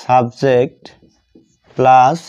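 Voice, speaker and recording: A man with a Bengali accent teaching English grammar, dictating as he writes and repeating each phrase deliberately, in a dull lo-fi recording. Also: mid-range voice, level tone, darkish tone, smooth. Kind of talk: grammar lesson, dictating while writing.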